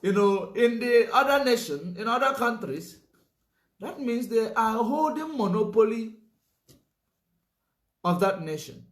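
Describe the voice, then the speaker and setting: A man's voice: high voice, lively intonation, unhurried, long pauses, echoey room. A man giving a political commentary monologue, emphatic, repeating his points and filling gaps with "you know".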